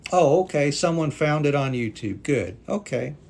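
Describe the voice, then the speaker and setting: A man's voice: on the high side, expressive, normal speed, gravelly, darkish tone. A native English-speaking man teaching English on a live stream, patiently reading viewer comments aloud and thanking them. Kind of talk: live-stream language class chat.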